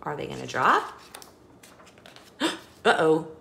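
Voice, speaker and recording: A woman reading a picture book aloud to young children, with expressive emphasis and small asides to the listener. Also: sits low, takes it slowly, vocal fry, slight background noise. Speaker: children's storybook reader.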